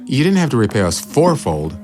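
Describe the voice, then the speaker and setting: A man's voice: medium pitch, sing-song, brisk, darkish tone, faint music behind, natural voice. An actor in a kids' audio drama playing a grateful, forgiving, devout family man, earnest and heartfelt.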